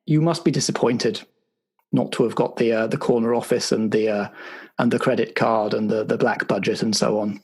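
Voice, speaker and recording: A man's voice: fairly low pitch, steady tone, normal speed, slightly rough. A man playing an awkward, apologetic intelligence agency official who stammers officiously through a debrief in an improvised roleplay show. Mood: formal, halting praise. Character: awkward, apologetic male spy agency bureaucrat giving a debrief.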